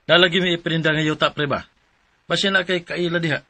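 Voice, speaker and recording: An actor with a Cebuano Filipino accent playing a friendly, persuasive buddy in a radio drama, with a chummy, coaxing manner. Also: male voice, fairly high pitch, fairly even intonation, quick pace, loud music behind the voice, lightly acted. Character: friendly, persuasive male buddy pawning his land.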